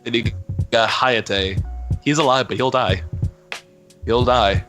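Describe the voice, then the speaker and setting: A man co-hosting a podcast, chatting casually and jokingly in short, deadpan phrases. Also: medium pitch, slow pace. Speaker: podcast co-host and amateur voice actor. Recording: music clearly under the voice.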